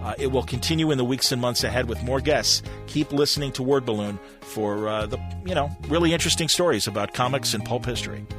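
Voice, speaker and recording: A man's voice: medium pitch, fast, few pauses, music in the background. A man hosting a podcast in a casual, enthusiastic and appreciative way. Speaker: podcast host. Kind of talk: casual interview and show sign-off.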